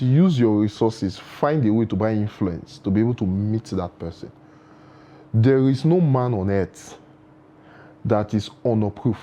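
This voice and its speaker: A man's voice: lowish voice, dark tone. Earnest man giving proverb-filled advice. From Nigeria. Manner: earnest, proverb-laden advice, frequent restarts. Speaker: guest. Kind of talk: studio interview.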